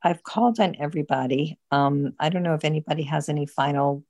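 A woman's voice: low-pitched, quick pace, a little mellow, no room sound, clean studio sound. A woman hosting a book group in a casual, conversational way.

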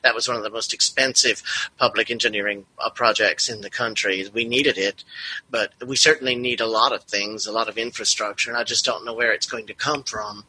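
The voice is strikingly bright, with a husky edge, and sits a little low for a man. He talks in a measured, reflective way as a member of a book club, adding small asides as he goes. It sounds like casual group conversation. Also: steady tone, rough recording.

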